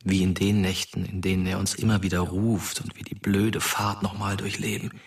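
A man playing the brooding ghost of a dead teenage boy, narrating a radio play in a hushed, intimate way. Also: deep voice, flat delivery, moderate pace, husky. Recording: music behind the voice.

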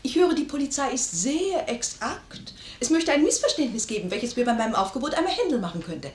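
An actress playing a saucy, quick-witted young lady's maid in a radio play, pert and teasing in mock earnest. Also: high-pitched, sing-song, slight background noise. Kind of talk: 1950s German radio play acting. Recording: roomy 1950s radio studio recording.

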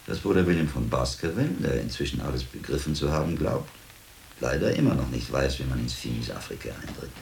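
An actor playing a shrewd, commanding middle-aged monk detective in a radio drama, deliberate and wry with a learned air. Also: male voice, extremely low pitch, gravelly, background noise. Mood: wry, brooding. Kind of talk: German radio drama acting.

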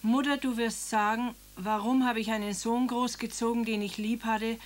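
A woman's voice: fairly high pitch, fairly even intonation, moderate pace, natural voice. An actress playing an elderly grieving mother who reads her son's letter and then talks about him in homely, rambling speech. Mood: sorrowful. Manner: plain homely speech with tag questions. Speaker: mother of Karl. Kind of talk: radio drama acting.

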